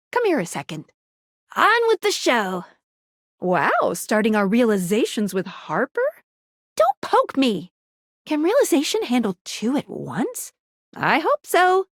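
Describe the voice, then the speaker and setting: A woman's voice: high voice, no echo, studio quality, heavily acted. A woman playing a perky, quirky guide character in a video game, playful and bubbly as she explains things. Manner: playful, bubbly, cutesy slang.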